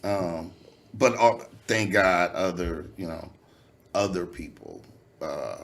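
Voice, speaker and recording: A man with a very low voice that still comes out nasal, talking. He speaks loosely and candidly, full of "you know" and casual swearing. It sounds like a relaxed interview chat. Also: slow. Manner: loose, candid, swearing freely, many fillers. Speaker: rapper, guest.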